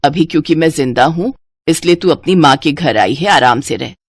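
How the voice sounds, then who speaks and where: A woman voicing a protective, consoling widowed mother of grown children in an animated Hindi family story. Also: low voice, moderate pace, slightly rough, no echo. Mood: consoling, firm.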